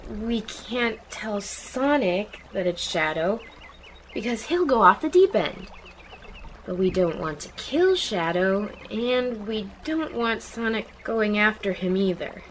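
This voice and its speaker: A woman's voice: mid-range voice, animated, slow pace, slight background noise. A woman playing a level-headed, studious young female character in an amateur radio drama. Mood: calm, serious.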